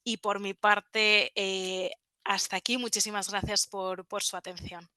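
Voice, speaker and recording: A woman's voice: mid-range voice, level tone, unhurried, bright, forceful tone, dry recording. A woman presenting in a webinar as a trademark office expert, explaining slides step by step with frequent fillers.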